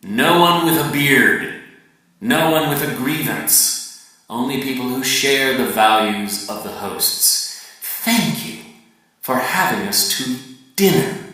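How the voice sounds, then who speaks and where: A man giving a dramatic literary reading as an emphatic, deliberate monologue with pointed pauses. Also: medium pitch, a bit bright. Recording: echoey room, distant mic.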